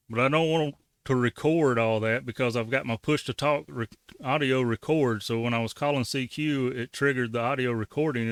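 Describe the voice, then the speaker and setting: A man's voice: mid-range voice, fairly bright tone, clean tone, dry recording, clean studio sound. A man giving a casual, folksy how-to walkthrough as the host of a hobby tutorial. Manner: folksy, colloquial. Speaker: ham radio tutorial host.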